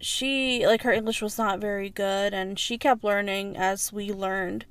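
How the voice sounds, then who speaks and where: A woman's voice: mid-range voice, fairly even intonation, unhurried, fairly bright tone, clean tone, dry recording. Earnest young woman, dry close mic. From United States. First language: Spanish. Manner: earnest, reflective, storytelling. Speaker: podcast co-host. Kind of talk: casual chat.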